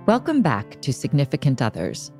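A woman's voice: rumbling low, wildly swooping pitch, normal speed, warm tone, faint music behind. A woman hosting and interviewing on a podcast in a conversational style.

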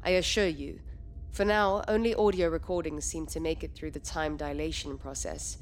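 An actress playing a composed, reassuring doctor on a military project in an audio drama. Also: female voice, low voice, fairly even intonation, normal speed. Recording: clear background noise.

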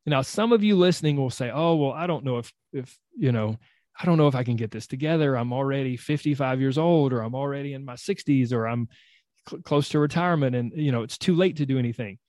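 A man's voice: medium pitch, fast, a little mellow, no echo. A man hosting an interview, enthusiastic and encouraging, rambling a bit with restarts. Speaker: host. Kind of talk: interview, coaching podcast.

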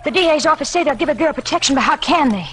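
A frightened, high-pitched gun moll. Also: female voice, animated, very fast, a bit bright, faint music behind. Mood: anxious, pleading. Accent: American. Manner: slangy, anxious moll talk. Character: frightened, streetwise gangster's girlfriend on the run. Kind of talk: 1940s radio crime drama acting. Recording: 1940s radio drama recording.

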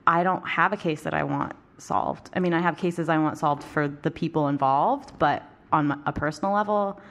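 A woman co-hosting a podcast live before an audience, wry and quick with quips. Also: low-pitched, fairly bright tone, slight background noise. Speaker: podcast co-host. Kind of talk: live panel chat with audience Q&A.

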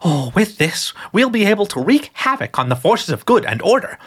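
A man's voice: sits high, very animated, moderate pace, fairly bright tone, husky, pitched above the performer's own voice, exaggerated voice. A man voicing a cheerful, sly old witch woman who calls everyone dearie in a gushing manner. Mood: doting.

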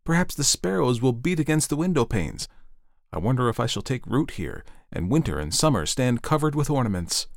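A man's voice: mid-range voice, big pitch swings, a little fast, creaky, no echo, studio quality, voice pushed up. A man voicing a young fir tree in a storybook reading, asking eager, breathless questions about what will happen to it. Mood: eager wondering. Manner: wistful, breathless wondering questions.

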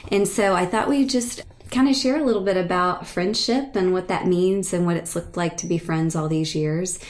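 A woman's voice: lowish voice, normal speed. A woman in her thirties hosting a podcast, leading a casual chat with friends in a chatty, easygoing way. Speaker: podcast host.

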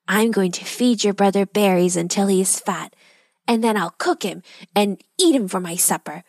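A woman voicing a lonely old woman who acts like a threatening witch, loud and angry at first, then quiet and sad. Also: mid-range voice, lively intonation, normal speed, no room sound, clean studio sound.

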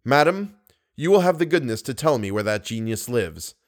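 An earnest young nobleman, confused and halting, his voice set a little higher, as a male narrator plays him and moving freely in pitch. He sounds like a figure in a storybook reading. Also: wildly swooping pitch. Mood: commanding.

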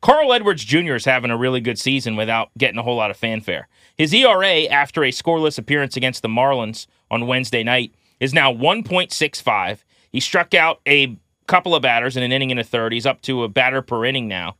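Bright, forceful male sports analyst. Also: medium pitch, lively intonation, brisk, dry recording. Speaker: sports podcast co-host.

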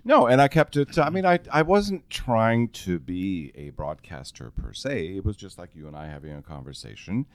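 Deep-voiced man projecting strongly. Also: big pitch swings, normal speed, few pauses, no echo. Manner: projects strongly, laughs often, restarts phrases. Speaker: podcast guest. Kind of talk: casual interview chat.

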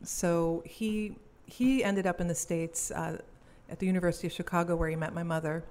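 Belgian-born young woman, lowish voice, chatty and laughing a lot, easygoing interview talk. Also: steady tone, moderate pace, a little mellow. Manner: chatty, laughs easily, self-deprecating. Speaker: cellist and musician guest. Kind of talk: casual interview chat.

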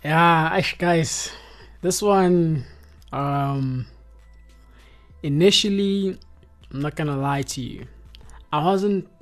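A man in his twenties with a South African accent, hosting a casual music review chat in laid-back, slangy speech. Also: fairly high pitch. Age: 20s. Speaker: podcast host.

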